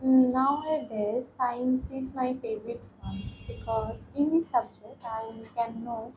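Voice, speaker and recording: A teenage woman from Bangladesh, answering exam questions slowly with many ums and pauses. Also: on the high side, fairly even intonation, noisy background. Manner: hesitant, frequent ums and pauses. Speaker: test candidate. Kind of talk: mock speaking exam answers.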